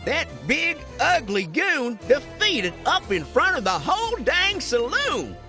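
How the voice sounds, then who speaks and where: Vengeful cartoon skeleton outlaw, extremely high, piercingly bright, blustering western slang. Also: wildly swooping pitch, takes it slowly, soft background music, full character voice. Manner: folksy cowboy slang. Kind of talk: animated cartoon western voice acting.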